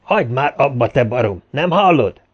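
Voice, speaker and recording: A man's voice: medium pitch, lo-fi sound, lightly acted. A man voicing a cool-headed, menacing, contemptuous gunman in an audiobook, with a sardonic and threatening way of talking. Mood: scolding.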